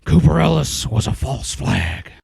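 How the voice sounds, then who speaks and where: Casting: a slow, very gravelly, raspy TV pundit, insinuating and conspiracy-minded, audio drama rant. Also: male voice, medium pitch, sing-song, darkish tone, no room sound, exaggerated voice. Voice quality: gravelly, husky. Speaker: talk show host.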